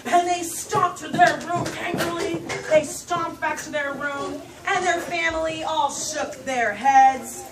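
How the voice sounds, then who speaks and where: A woman telling a children's puppet story live, with dramatic, drawn-out emphasis. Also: very high-pitched, fairly even intonation. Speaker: storyteller leading a puppet show for young children. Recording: echoey room, distant mic, children around.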